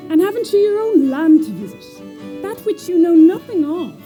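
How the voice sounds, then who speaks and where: A woman's voice: extremely high pitch, big pitch swings, moderate pace, warm tone, soft background music. A woman playing a needling young patriot. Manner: pressing, needling questions. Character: fervent young woman nationalist, teasing. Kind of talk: staged reading of a short story scene.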